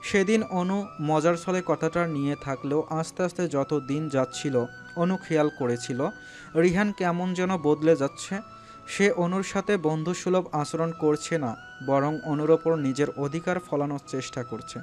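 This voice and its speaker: Higher-pitched man narrating a love story. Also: measured pace, a little mellow, soft background music. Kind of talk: scripted dramatic story narration.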